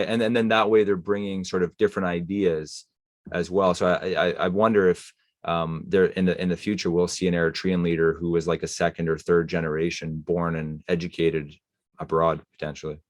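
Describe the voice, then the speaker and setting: A man's voice: sits low, dry recording, studio quality. A man hosting a podcast, talking thoughtfully with lots of fillers and restarts. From Canada. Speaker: podcast host. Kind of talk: casual interview chat.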